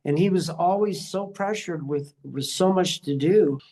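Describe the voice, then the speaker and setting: An older man speaks casually in an interview, looking back on earlier days. His voice sits a little higher than average for a man, and his delivery is earnest and stop-start, with words repeated as he gathers his thoughts. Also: a little slow, mellow. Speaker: musician being interviewed. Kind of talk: casual reminiscing in a group interview.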